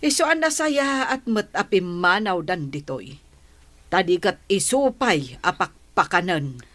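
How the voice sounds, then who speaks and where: A woman's voice: on the low side, big pitch swings, a little slow, a bit bright. An actress playing a resentful, complaining woman in a radio drama, disdainful in tone, with an Ilocano accent. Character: sour, complaining woman, resentful of young couple.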